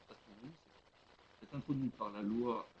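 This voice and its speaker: A man giving an explanatory webinar presentation in a hesitant, halting way, often rephrasing, over a web conference connection. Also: mid-range voice, slight background noise. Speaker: webinar presenter, legal expert. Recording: dull lo-fi web conference audio.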